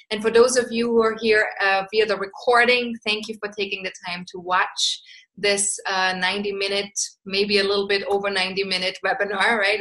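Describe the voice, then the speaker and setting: A woman from Austria who speaks English hosts an online astrology lesson. Her voice is bright and sits at a middle pitch. She talks chattily, reads out her attendees' answers and often tags her points with "right?". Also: rough recording. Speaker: astrologer and coach. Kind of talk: live webinar teaching.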